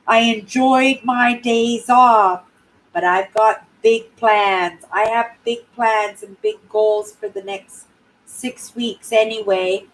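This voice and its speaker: A woman in her sixties from Canada, chatting casually on her live stream in a clear, high-pitched voice and often ending sentences with "right?". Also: a bit bright, poor audio. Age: 60s. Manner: rambling, tags sentences with "right?". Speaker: YouTube channel host.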